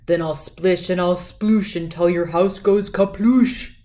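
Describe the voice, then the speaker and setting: A woman's voice: on the low side, fairly even intonation, measured pace, warm tone, lightly acted. A woman voicing a menacing male gar fish, a storybook villain who grumbles and growls singsong threats. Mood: grumbling threat.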